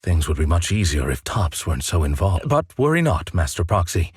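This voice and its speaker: A composed gentleman butler in a video game. His voice is deep and very breathy-rough, formal and deferential, in a dry studio sound. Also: very animated, fairly quick, no echo, exaggerated voice. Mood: courteous, composed. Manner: formal, courteous. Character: polite, composed male butler, deferential and reassuring.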